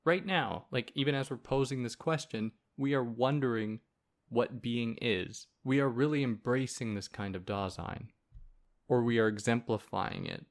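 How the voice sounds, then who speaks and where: A man's voice: mid-range voice, normal speed. Soothing-voiced man explaining philosophy. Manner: relaxed, conversational, with fillers and asides. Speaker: podcast host. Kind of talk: casual explanatory philosophy lecture.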